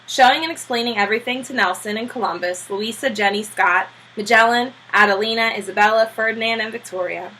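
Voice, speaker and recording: A woman reading a children's novel aloud as a storybook narrator, with a husky voice. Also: a little high, pushed and bright, rough recording. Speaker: storybook reader.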